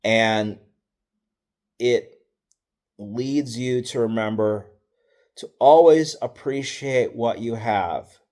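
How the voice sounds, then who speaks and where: A middle-aged man, a football coach, telling stories from past seasons in a relaxed, wry, matter-of-fact way, with fillers and self-corrections. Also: lowish voice. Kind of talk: casual storytelling.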